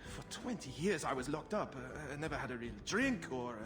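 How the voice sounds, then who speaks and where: A man's voice: medium pitch, very animated, brisk, music in the background, natural voice. A man in his twenties playing a bumbling, sheltered young mage in a video game, theatrical and prone to stammering as he plays at being suave. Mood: flustered, pleading. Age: 20s. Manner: stammering, over-earnest, theatrical.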